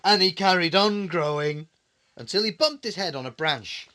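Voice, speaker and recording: A man's voice: sits high, lively intonation, normal speed, bright, forceful tone, slight background noise. A man reading a bedtime story to his young child in a conversational way, with questioning asides and dramatic repetition.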